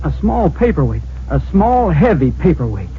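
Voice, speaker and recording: An actor playing a calm, concerned, plainspoken hero in an old-time radio drama. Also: male voice, fairly high pitch, normal speed. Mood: puzzled. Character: calm, concerned, open-minded hero investigating a mystery. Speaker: lead actor of the series. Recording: muffled old radio recording, faint hiss.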